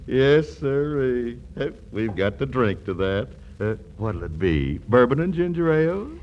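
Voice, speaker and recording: An actor playing a lecherous, flirtatious, silly playboy in a 1940s radio comedy. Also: male voice, medium pitch, sing-song, a little slow, dull audio, a little hiss or hum. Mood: flirtatious, wheedling. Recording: old 1940s radio broadcast.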